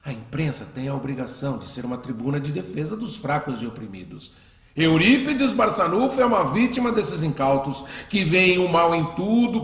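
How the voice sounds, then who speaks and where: A man's voice: a little high, fairly bright tone. A man playing a protective, emphatic father of a grown son in a radio drama. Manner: emphatic, declamatory. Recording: roomy echo, faint music behind.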